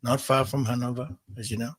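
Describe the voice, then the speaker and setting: A man hosting a group call, speaking gently with many pauses and fillers. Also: medium pitch, flat delivery, dry recording. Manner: warm, gentle, filler-heavy. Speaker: host.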